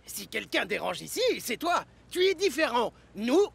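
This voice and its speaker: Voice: mid-range voice, sing-song, normal speed, creaky, husky, full character voice. An actor voicing mischievous, boyish twin tank engines in a French cartoon dub, teasing and boastful. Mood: boastful, mocking. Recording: music behind the voice.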